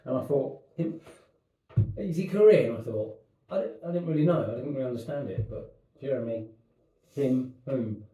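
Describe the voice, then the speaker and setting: A man's voice: medium pitch, unhurried, pauses often, warm tone. Male co-host, dry and brief, far from the mic in a very echoey room. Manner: dry, laconic, brief replies. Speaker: podcast co-host. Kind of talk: casual chat. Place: dining room.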